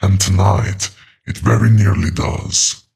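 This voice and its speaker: An age-old male demon for horror audio drama: heavy creaking fry, unhurried, calmly menacing and sardonic. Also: on the low side, full character voice. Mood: calm menace. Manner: menacing, calm, deliberate, mocking. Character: ancient patient male demonic entity living in walls.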